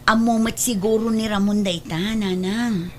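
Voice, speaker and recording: A woman's voice: mid-range voice, steady tone, unhurried, natural voice. A woman playing a resentful, meddling elder sister in an Ilocano family drama. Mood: insistent, nagging. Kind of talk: Ilocano radio-style family drama acting.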